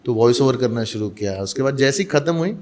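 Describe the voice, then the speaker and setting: A man's voice: medium pitch, expressive, quick pace, darkish tone. A man from Mumbai, an actor and voice-over artist, telling stories in a casual interview and mixing Hindi with English. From Mumbai, India.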